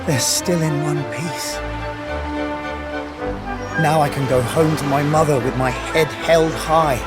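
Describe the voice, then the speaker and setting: A man's voice: fairly high pitch, steady tone, slow. An actor playing a polite, humble, earnest townsman in a video game. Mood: relieved, grateful. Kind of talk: video game cutscene acting. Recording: loud game music behind.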